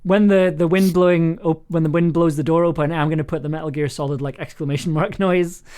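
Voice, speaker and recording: A chatty audio drama producer with a medium-pitched voice, directing casually on a livestream. The delivery rambles along and often tips into giggles, as in relaxed conversation between colleagues. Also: brisk, clear, resonant tone.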